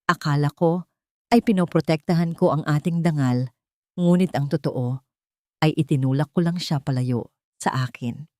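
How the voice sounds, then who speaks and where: A woman's voice: low voice, moderate pace, long pauses, warm tone, no echo, clean studio sound, close to an everyday voice. A woman voicing a remorseful, pleading old grandfather, a wealthy patriarch, in a solemn and sentimental audio drama style.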